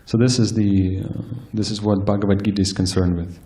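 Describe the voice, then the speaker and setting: A man giving a scripture lecture, soft-spoken and hesitant, with frequent fillers and restarts. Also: fairly low pitch, flat delivery, brisk. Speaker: speaker giving a scripture class. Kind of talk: sermon-style lecture. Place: temple hall with live audience.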